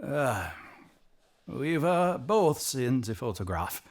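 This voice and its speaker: A man playing a proud, anxious king in an audio drama, with a pompous, formal and sometimes halting delivery. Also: medium pitch, big pitch swings. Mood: defensive.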